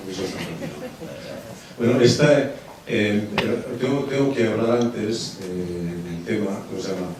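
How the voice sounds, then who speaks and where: Low mellow guy moderating a film debate, lots of ehs, far away in a super echoey hall. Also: a little slow, noisy background. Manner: hesitant, many fillers and restarts. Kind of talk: post-screening Q&A. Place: cinema hall.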